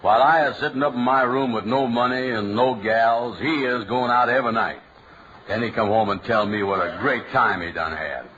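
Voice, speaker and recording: A man's voice: medium pitch, fairly bright tone, lightly acted. An actor playing a lonely, broke man who pines after girls, in 1940s radio comedy style. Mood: mopey. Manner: slow, mopey, easily tempted. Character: lonely, girl-crazy, broke middle-aged man. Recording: muffled old radio broadcast with hiss.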